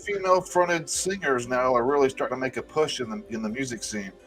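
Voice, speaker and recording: A man in his forties hosting a casual music chat show, animated and swearing freely, often repeating words as he talks. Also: mid-range voice, a little fast, poor audio. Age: 40s. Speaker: show host.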